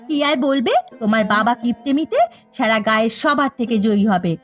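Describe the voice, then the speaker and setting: A woman playing a put-upon, exasperated daughter-in-law and housewife in a Bengali cartoon, speaking in a complaining, animated manner. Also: a little high, brisk, close to an everyday voice. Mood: indignant complaining. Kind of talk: Bengali moral-story cartoon dubbing. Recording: faint music behind the voice.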